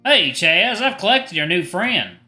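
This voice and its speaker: A man's voice: fairly high pitch, sing-song, a little fast, bright, forceful tone, soft background music, pitched above the performer's own voice, full character voice. A man voicing a friendly, folksy cafe owner who chats proudly in a story reading. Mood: cheerful, welcoming. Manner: folksy, chatty. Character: friendly, chatty male cafe owner and baker, proudly reminiscing.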